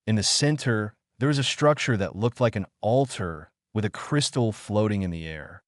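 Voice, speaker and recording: A man narrates a scripted story in a low voice. His delivery is animated and swings widely in pitch. The sound is close and dry, as in an audiobook. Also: normal speed, dry recording.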